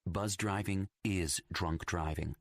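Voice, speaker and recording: A man's voice: low-pitched, fairly even intonation, slow, no echo. A man reading a scripted public service announcement into a close mic.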